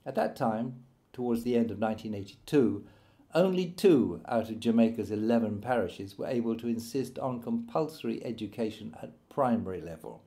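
A man narrating his own written memoir as an audiobook, in a measured storytelling manner. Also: on the low side, lively intonation, mellow.

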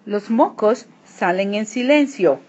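A woman reading a children's picture book aloud, switching between hushed and emphatic delivery. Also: mid-range voice, lively intonation, takes it slowly. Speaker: read-aloud narrator. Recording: dull lo-fi recording, clear background noise.

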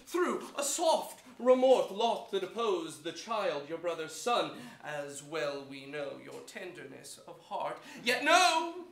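A man playing a crafty, forceful courtly nobleman in an oratorical Shakespearean stage reading. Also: high voice, very animated, gravelly, exaggerated voice. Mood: urgent persuasion. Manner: oratorical, deliberate, ringing. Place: theatre stage before an audience. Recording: echoey theatre stage.

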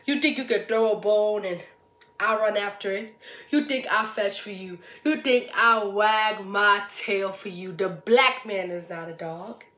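A young woman performing a dramatic stage monologue as a proud, stormy, defiant prophet, moving between hushed and loud delivery. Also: medium pitch. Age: young adult. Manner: slow, swinging from whispers to loud cries. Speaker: student actor. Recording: echoey room.

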